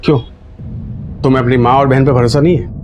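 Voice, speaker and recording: A man's voice: medium pitch, steady tone, fast talker, a little mellow, a little hiss or hum, natural voice. An actor playing an easygoing, indulgent father and husband in a TV drama. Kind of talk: TV drama acting.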